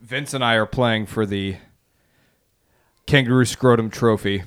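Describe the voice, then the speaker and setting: A man's voice: medium pitch, a little slow, a bit bright, clean tone. A man hosting a podcast in a casual, chatty style. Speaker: podcast host.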